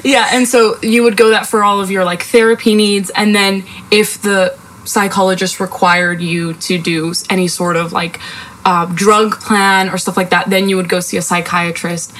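A woman's voice: medium pitch, steady tone, normal speed, fairly bright tone, low-quality recording. Young woman from Spain, American accent. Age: 20s. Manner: hesitant, filler-heavy, laughs easily. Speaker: podcast guest. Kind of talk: casual podcast chat.

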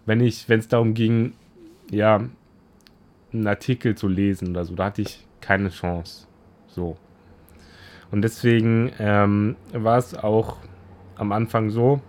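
A young man from Germany talks through a solo podcast episode in a loose, casual monologue. His voice sits a little low. He rambles and often corrects himself mid-sentence. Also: a little mellow. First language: German and Japanese. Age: young adult. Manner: rambling, many fillers and self-corrections. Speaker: podcast host.

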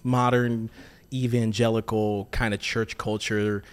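A man's voice: fairly low pitch, flat delivery, measured pace, smooth. A man who grew up in Tanzania, a guest on a podcast, telling playful stories and mock-quoting people in casual chat. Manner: playful storyteller, mock quotes.

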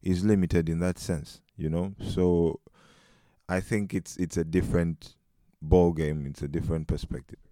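A man's voice: low-pitched, steady tone, a little slow, mellow, no echo, clean studio sound. A man from Uganda with a Ugandan accent, reflecting in a discussion and posing rhetorical questions. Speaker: podcast guest.

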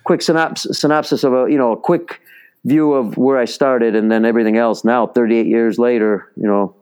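Smooth-voiced man telling stories. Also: mid-range voice, steady tone, moderate pace, a little mellow, low-quality recording. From Chicago, US. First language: Italian. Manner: rambling, many fillers and restarts. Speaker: baseball instructor, podcast guest. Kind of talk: casual interview storytelling.

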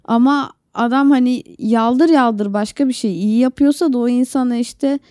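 A woman's voice: fairly high pitch, moderate pace, dark tone, dry recording, clean studio sound. A woman co-hosting a podcast, casually talking through her opinions in conversation. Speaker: podcast co-host. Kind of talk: casual chat, opinionated discussion.

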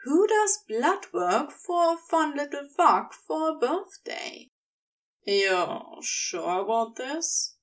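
Woman voicing a slow, dry, teasing husband. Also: high-pitched, animated, recorded from a distance. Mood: dry, sceptical. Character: laid-back, teasing, sceptical husband, adult man.

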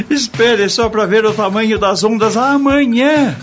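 A man's voice: very high-pitched, slow, fairly bright tone, a little hiss or hum, heavily acted. A man playing an easygoing, eager young partygoer in a radio drama. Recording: dull radio play, music and chatter.

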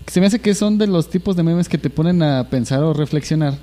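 A man's voice: fairly high pitch, brisk, warm tone, soft background music. A young man with a Mexican Spanish accent, chatting casually with friends on a podcast and rambling through his points with many restarts. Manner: rambling, hesitant, slangy. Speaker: podcast co-host. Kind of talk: casual chat.

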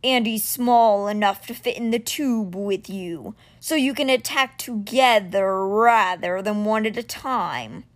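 A woman voicing a huge male stone cat guardian, speaking slowly in a low, measured, earnest way. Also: lightly acted.

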